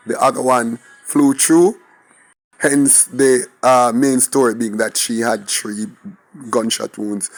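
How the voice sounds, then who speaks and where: A man hosting his own channel, hoarse and raspy from the flu, going over a crime story in a halting, self-correcting way. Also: mid-range voice. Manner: slow, halting delivery with restarts and fillers. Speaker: channel host. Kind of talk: crime news commentary.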